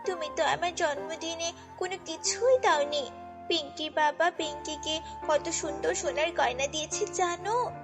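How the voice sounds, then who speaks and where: Woman as a high-pitched, pleading little girl. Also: normal speed. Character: pleading, excitable orphan little girl, a devoted granddaughter. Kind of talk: children's fairy-tale cartoon voicing. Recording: long reverb, music bed.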